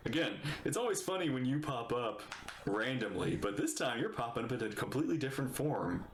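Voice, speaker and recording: A man giving excitable, playful, casual reaction commentary as a game streamer. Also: mid-range voice, brisk, a little hiss or hum. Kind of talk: casual gameplay reaction commentary, reading lines aloud. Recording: roomy echo. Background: game music.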